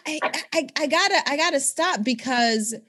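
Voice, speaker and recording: A woman's voice: high voice, expressive, moderate pace, bright, forceful tone. A woman in her forties hosting a podcast, talking with enthusiastic, affirming energy. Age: 40s. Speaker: podcast host. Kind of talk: casual conversation.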